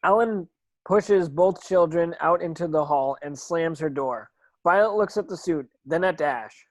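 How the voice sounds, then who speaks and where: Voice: medium pitch, unhurried, no echo. Dry-sounding narrator reading stage directions. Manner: stumbles and repeats words while reading. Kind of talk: scripted narration in an online table read.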